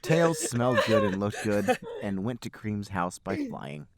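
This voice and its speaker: A man's voice: on the low side, expressive, unhurried, a loud crowd. A man hosting a podcast, reading comic fan fiction aloud in a mock-earnest storybook manner. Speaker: podcast host and narrator.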